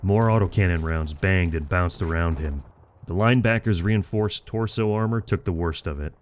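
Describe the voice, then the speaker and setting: A man giving dramatic scripted narration of a military story in a measured, grave manner. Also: low voice, slight background noise.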